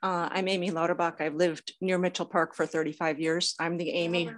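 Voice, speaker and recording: A woman's voice: fairly low pitch, flat delivery, a little fast. An older woman reading a prepared statement at a public meeting, a little nervous. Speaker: public commenter. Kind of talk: prepared speech read aloud at a public meeting.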